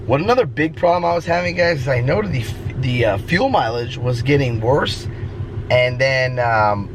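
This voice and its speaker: A hoarse man who has lost his voice, chatting casually and rambling in a vlog while driving his truck. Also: mid-range voice. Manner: chatty, rambling, clears throat. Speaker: vlogger. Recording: noisy car interior. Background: engine and road noise.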